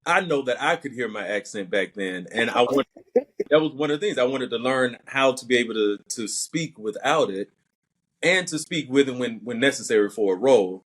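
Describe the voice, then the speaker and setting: A man's voice: medium pitch, lively intonation, normal speed, fairly bright tone, slightly rough, rough recording. A young man with a light Southern accent, an actor answering interview questions in a thoughtful, methodical way. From Alabama. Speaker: actor and podcast guest.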